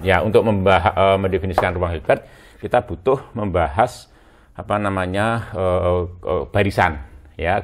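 A man in his sixties with an Indonesian accent, lecturing at a chalkboard in a halting way, with many hesitations and check words. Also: deep voice. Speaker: university physics lecturer. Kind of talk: chalkboard lecture, explaining as he writes. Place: indoor room in front of chalkboards.

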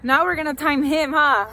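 A woman's voice: sits high, bright, forceful tone, a little hiss or hum. A young adult woman chatting casually and exuberantly to a handheld camera outdoors as she hosts a vlog. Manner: exuberant, whooping, playful. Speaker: vlog host. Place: outdoors on roadsides and in car parks. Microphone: handheld camera at arm's length. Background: music.

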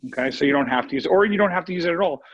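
A man giving a casual cooking demo as a chef over a video call, talking informally and haltingly as he thinks aloud. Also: on the high side, expressive, fairly bright tone, a little hiss or hum. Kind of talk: casual live cooking demo, question and answer. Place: home kitchen on a live video call. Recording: muffled dry online video-call sound.

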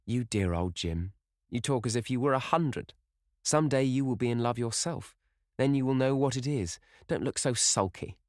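A man's voice: medium pitch, animated, moderate pace, a little mellow, dry recording, clean studio sound, pitched above the performer's own voice, natural voice. A man voicing a dreamy, playful young woman in love, as an audiobook character. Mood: joyful, tender.

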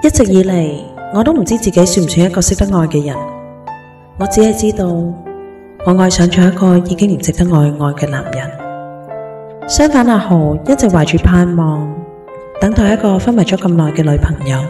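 An actress with a Hong Kong Cantonese accent playing a gentle, caring young best friend in a radio drama. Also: female voice, lowish voice, animated, normal speed, over music, close to an everyday voice. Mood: reflective.

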